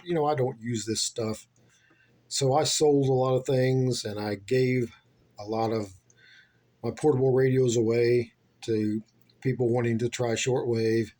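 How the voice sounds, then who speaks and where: A man's voice: mid-range voice, warm tone. Folksy man from the United States, casual vlogger. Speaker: shortwave radio hobbyist giving a tour. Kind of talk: casual unscripted vlog narration.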